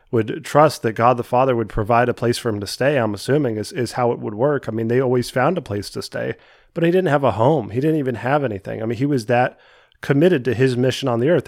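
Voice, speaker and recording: A young man with a cold-congested voice hosts his own faith podcast, speaking earnestly and often stumbling back over a word before pushing on. His pitch sits in an ordinary middle range. The recording is dry and close, with no room sound around him. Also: darkish tone, dry recording. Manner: earnest, with frequent restarts and repeated words. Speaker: podcast host. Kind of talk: solo devotional podcast talk.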